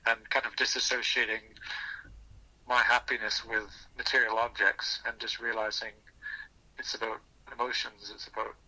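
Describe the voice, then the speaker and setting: A man's voice: medium pitch, flat delivery, moderate pace. A man speaking as an interview guest, thinking aloud earnestly and reflectively, often restarting his phrases, over a phone call. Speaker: podcast guest. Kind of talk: reflective personal storytelling in an interview. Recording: thin, bright telephone line.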